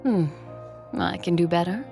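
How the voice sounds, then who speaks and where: A woman's voice: lowish voice, normal speed, creaky. A woman playing an imperious, disdainful, ancient female vampire conqueror in an audio drama. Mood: wry, haughty. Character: haughty two-thousand-year-old female vampire conqueror. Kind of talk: audio drama narration and acting. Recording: background music under voice.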